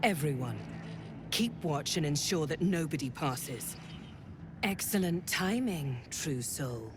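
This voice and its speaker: Low, imperious cult commander. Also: female voice, lively intonation, slow, noisy background. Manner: clipped, commanding, formal. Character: stern, imperious female cult commander, cold and authoritative. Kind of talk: dramatic fantasy game acting.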